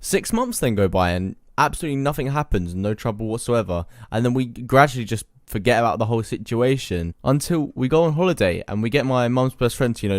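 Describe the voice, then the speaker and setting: A man's voice: medium pitch, no room sound, studio quality. A young British man telling comic anecdotes casually, in a slangy, sweary, matey way. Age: young adult. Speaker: YouTube storyteller.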